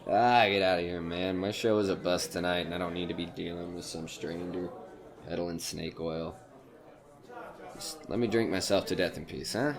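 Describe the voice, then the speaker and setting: Washed-up boozing comic male actor, low gravelly voice, bitter and defensive horror-drama grumbler. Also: steady tone, normal speed, a crowd in the background. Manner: grumbling, defensive, world-weary. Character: bitter, drunken, down-on-his-luck male stand-up comedian. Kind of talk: retro horror audio drama acting.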